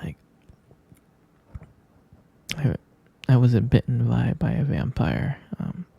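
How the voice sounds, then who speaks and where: A man acts out a drowsy, tender vampire boyfriend in a bedtime ASMR roleplay. His voice is very breathy and husky, crackling with heavy fry. He speaks slowly and casually, with hesitant, intimate murmurs. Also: mid-range voice, sing-song, mellow, dry recording, highs cut off, heavily acted. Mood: patient, explaining. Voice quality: breathy, gravelly half-whisper. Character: gentle, sleepy vampire boyfriend comforting his partner at bedtime.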